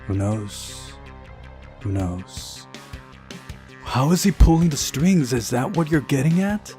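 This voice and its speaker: A man's voice: medium pitch, big pitch swings, slow pace, warm tone, faint music behind, pitched above the performer's own voice. A man voicing a smug, taunting young pioneer boy, a malicious ghostly prankster in a visual novel.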